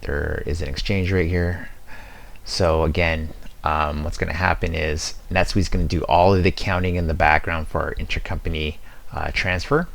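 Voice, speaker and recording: A man with an exceptionally deep voice narrates a software walkthrough. He sounds casual and conversational, explaining each step plainly as a presenter would in a screen demo. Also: steady tone, normal speed, gravelly.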